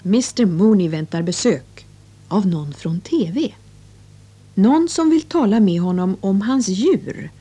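A woman's voice: medium pitch, lively intonation, mellow, breathy edge. A woman presenting a children's English lesson with scripted narration and instructions.

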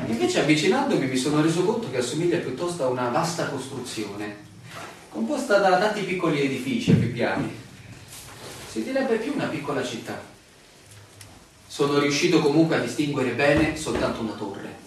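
In a very echoey, distant radio play recording, a weary male stranger recounts his bewildering walk. His pitch swings animatedly as he tells it with deliberate, theatrical emphasis. There is a faint layer of noise. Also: very animated. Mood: puzzled. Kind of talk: theatrical radio drama monologue.

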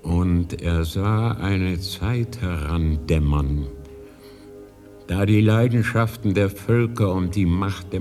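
A man playing an ancient, fatherly high lama in a radio drama, speaking in a solemn, deliberate storytelling manner. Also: darkish tone. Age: older. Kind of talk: 1980s German radio drama acting. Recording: long reverberant hall.

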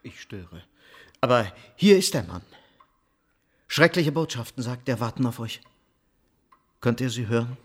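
A man's voice: mid-range voice, very animated, a little slow, pauses often, slightly rough. A man playing a scheming, villainous son in a radio drama, histrionic and accusing. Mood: sly. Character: scheming villainous son feigning shocked grief. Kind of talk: theatrical radio drama acting.

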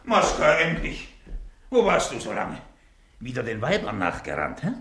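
Scheming rich master, gravelly voice, hugely animated pitch, impatient, gloating, exclamatory, radio drama. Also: male voice, normal speed, roomy sound, a little hiss or hum. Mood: impatient, demanding. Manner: excitable, exclamatory, demanding. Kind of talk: German radio play acting.

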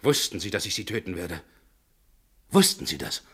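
A man's voice: deep voice, very animated, normal speed, sharp tone, full character voice. An actor playing a tormented man turned murderer in a radio drama, with a grave, insistent delivery. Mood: desperate, demanding.

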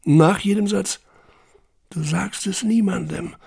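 An actor playing a haunted, self-questioning camp survivor in a literary audio monologue, intense and introspective, with a husky, gravelly voice. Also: male voice, sits high, slow, darkish tone, full character voice. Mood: reflective. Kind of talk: literary first-person monologue in audio drama.

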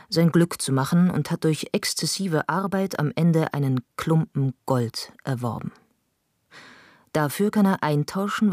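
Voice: mid-range voice, a little mellow, no echo, studio quality. A narrator reading scripted literary prose in a radio play.

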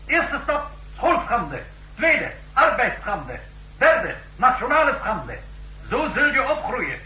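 An actor playing a harsh, accusing indoctrinator chanting commands in a 1960s radio drama. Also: male voice, very high-pitched, huge pitch swings, slow pace, pushed and bright, exaggerated voice. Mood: harsh, commanding. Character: harsh indoctrinating voice on a conditioning tape. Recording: echoey, faintly humming old radio recording.

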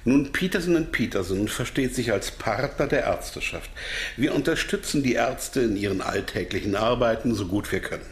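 A man's voice: medium pitch, moderate pace, lightly acted. A man playing an evasive, brooding captive pharma manager in a German radio crime drama. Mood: matter-of-fact, evasive.

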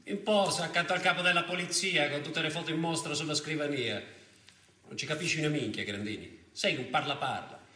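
A man's voice: a little high, brisk, pushed and bright, roomy sound. An actor playing a middle-aged police commissioner and worried father in a radio drama, bitter and emotional. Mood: contemptuous. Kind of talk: theatrical radio drama monologue and dialogue.

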